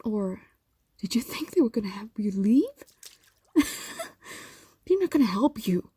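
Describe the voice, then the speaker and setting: Slow, breathy woman as a possessive fox demon. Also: medium pitch, big pitch swings, no echo, exaggerated voice. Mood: defensive, stern. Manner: soft, breathy, often dropping to whispers. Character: possessive, evasive female fox demon doting on captive human. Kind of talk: intimate audio roleplay monologue.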